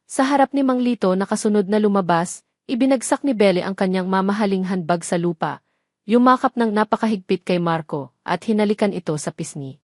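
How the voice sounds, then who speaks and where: A woman's voice: mid-range voice, no room sound, studio quality. A woman storyteller giving a dramatic scripted narration of a romance story.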